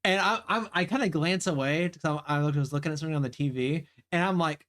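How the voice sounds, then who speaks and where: A man with a light Southern accent chats on a live game stream in a slightly high voice. He rambles, trips over his own sentences, laughs a lot and swears freely. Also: fast, fairly bright tone. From Southern United States. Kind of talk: casual live-stream chat, reading game dialogue aloud.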